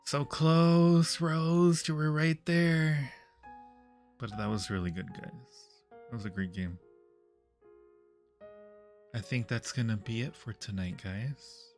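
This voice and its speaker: A man's voice: medium pitch, fairly even intonation, slow, long pauses, darkish tone, soft background music. A man hosting a livestream, chatting casually with viewers while playing a game. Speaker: livestream host. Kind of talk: casual livestream gaming chat.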